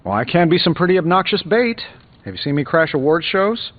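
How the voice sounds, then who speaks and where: An actor playing a cocky billionaire inventor superhero in a video game, glib and sarcastic with playful quips. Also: male voice, fairly high pitch, big pitch swings, a little fast, narrow, tinny audio. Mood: wry. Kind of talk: video game character dialogue, quippy banter. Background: music.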